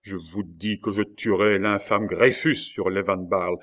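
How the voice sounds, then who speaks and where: A man's voice: low voice, steady tone, unhurried, a bit bright. A man voicing a passionate, despairing male prisoner in an audiobook, with vehement delivery. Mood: frantic rage. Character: desperate, raging male prisoner, passionate tulip grower.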